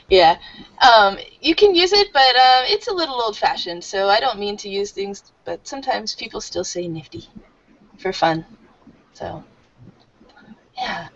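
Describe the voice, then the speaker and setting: Woman teacher, higher pitch, explains slowly and warmly, dull lo-fi online call audio. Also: sing-song. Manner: friendly, explains slowly with fillers. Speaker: English teacher.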